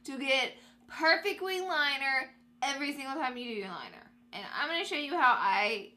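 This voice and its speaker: Young woman beauty vlogger, congested and nasal, upbeat chatty tutorial delivery, crisp bright tone. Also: high voice, animated, normal speed, slight background noise. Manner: upbeat, addresses viewers as guys. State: stuffy nose from allergies.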